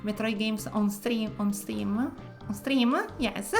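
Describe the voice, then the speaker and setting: A woman from northern Italy with an Italian accent, speaking English as a second language, chatting casually while streaming a game and often pausing to search for words. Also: medium pitch, fairly even intonation, vocal fry, faint music behind. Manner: soft-spoken, searching for words with many pauses. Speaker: variety streamer. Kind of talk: casual gameplay commentary.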